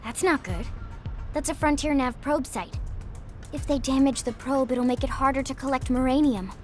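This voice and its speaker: A high, girlish-pitched young teammate acted by a woman in a game cutscene, with music behind. She is perky and guileless, full of informal exclamations. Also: normal speed, close to an everyday voice. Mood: concerned.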